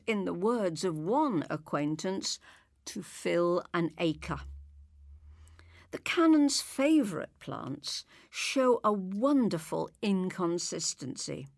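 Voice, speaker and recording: A woman's voice: fairly low pitch, no room sound, studio quality. A woman reading a literary piece aloud as a scripted narration. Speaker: presenter reading literary extracts.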